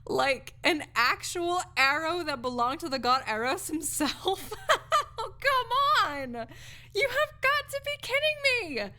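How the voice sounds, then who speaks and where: A woman's voice: very high voice, big pitch swings, slow, pushed and bright, heavily acted. A woman playing a snarky, skeptical university research assistant in an audio roleplay, speaking articulately in a conversational, lecturing way with dry asides. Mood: incredulous, amused.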